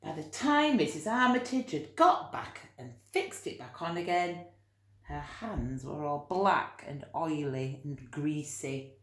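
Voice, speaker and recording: A woman reading a picture book aloud to young children, expressive, with chatty asides and gentle explanations. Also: deep voice, takes it slowly. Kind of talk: animated read-aloud storytelling with chatty asides. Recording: roomy, echoey room sound.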